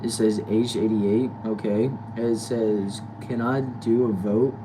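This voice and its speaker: A man's voice: lowish voice, steady tone, slow, noisy background. Laid-back nineteen-year-old man reading chat. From United States. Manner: reads comments aloud, drawls, greets viewers loosely. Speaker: livestream host reading chat. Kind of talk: casual livestream chat.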